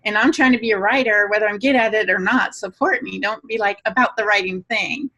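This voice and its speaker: A woman's voice: mid-range voice, a little fast, sharp tone. A woman co-hosting a podcast, telling anecdotes casually and acting out quoted remarks as she goes. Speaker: podcast co-host and writer.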